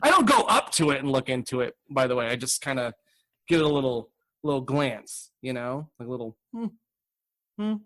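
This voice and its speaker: A man's voice: mid-range voice, big pitch swings, a little fast, fairly bright tone. A man hosting a comedy podcast in casual chat, rambling and playfully acting out imagined remarks. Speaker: podcast host.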